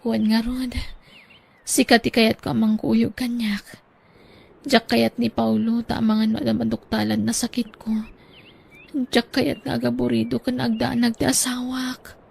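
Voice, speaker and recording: An anxious, ailing young wife and mother who speaks softly and slowly, with a gravelly, creaky edge to her voice, played by a woman in a radio drama. Her voice sits a little high. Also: flat delivery, full character voice. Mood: worried. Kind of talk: audio drama acting.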